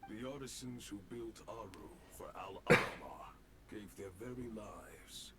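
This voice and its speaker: Slow, haughty ancient spirit minister. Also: male voice, lowish voice, expressive, heavy background noise. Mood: scornful. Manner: pompous, scornful, archaic. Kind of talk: video game voice acting.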